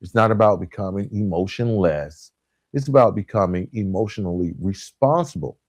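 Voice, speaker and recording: A man delivering a motivational self-help podcast talk as a coach, with emphatic, deliberate phrasing and frequent check-in tag questions. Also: on the low side, darkish tone, no room sound. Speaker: podcast host and relationship coach.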